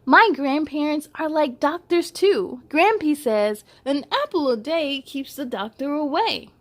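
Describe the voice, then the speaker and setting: High-voiced woman reading a children's story. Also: measured pace. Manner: playful, giggly, animated storytelling delivery. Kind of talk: expressive read-aloud for children with chatty asides.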